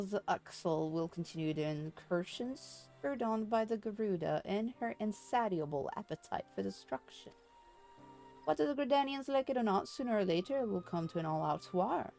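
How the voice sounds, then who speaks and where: A woman reading game dialogue aloud as a formal, grave storyteller with measured delivery. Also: lowish voice, animated, darkish tone, faint music behind. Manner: measured, formal, archaic wording.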